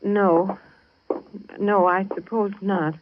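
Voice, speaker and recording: A woman's voice: medium pitch, fairly even intonation, slow pace, a little hiss or hum, natural voice. An actress playing an anxious middle-aged mother-in-law in a 1940s radio drama, hesitant, apologetic and stammering. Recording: muffled old radio recording.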